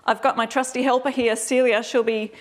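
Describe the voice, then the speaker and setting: A woman's voice: fairly high pitch, flat delivery, brisk. Chatty Australian woman hosting a craft livestream. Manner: chatty, informal, talks directly to viewers. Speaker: host of a live craft demonstration. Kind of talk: casual livestream tutorial chat.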